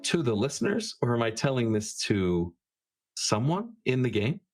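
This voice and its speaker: A man playing a tabletop roleplaying game, speaking informally and haltingly as he improvises. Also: medium pitch, sing-song, soft background music.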